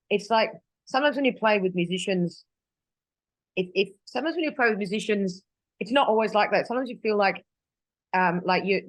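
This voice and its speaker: A woman's voice: on the low side, no echo, rough recording. Australian woman singer, dry close sound. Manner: chatty, rambling with restarts and fillers. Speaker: singer guest. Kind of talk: casual interview chat. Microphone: video call.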